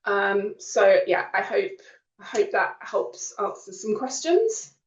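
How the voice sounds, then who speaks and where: A woman's voice: medium pitch, sing-song, takes it slowly. Woman student speaking from an echoey room. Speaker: creative writing student on a panel. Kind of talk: informal testimonial talk at a virtual open day. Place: indoor room on a video call. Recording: roomy, distant, slightly dull online call.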